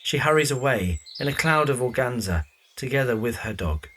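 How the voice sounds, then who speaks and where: Guy narrating a radio play, mid-pitched voice, bit of background noise. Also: animated, normal speed, fairly bright tone, low-quality recording. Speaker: audio drama narrator. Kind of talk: scripted narration.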